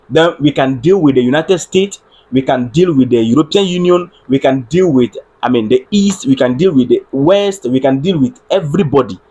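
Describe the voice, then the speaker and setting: A Ghanaian man hosts a solo live stream, talking through politics earnestly and circling back over his points. His voice is husky and sits a little high for a man. He stops now and then to ask viewers whether his sound is coming through. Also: a little mellow. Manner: earnest, repeats phrases, checks on his audio with viewers. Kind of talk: solo livestream political commentary.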